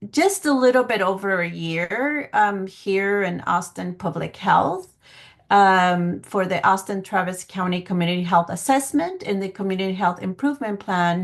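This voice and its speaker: A woman from Guatemala giving a semi-formal online presentation in an earnest, measured way with some hesitant phrasing, speaking over a video call. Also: medium pitch. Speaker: public health planning coordinator giving a presentation. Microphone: video call microphone.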